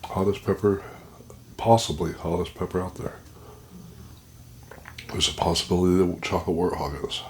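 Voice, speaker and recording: A man with a very deep, low voice talks haltingly through a pepper tasting, his nose running and blocked up. He speaks into a plugged-in microphone at home, with a faint hiss behind him. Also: fairly even intonation. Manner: halting, describing the burn as it builds. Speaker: pepper reviewer. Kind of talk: casual vlog commentary. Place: indoors at home.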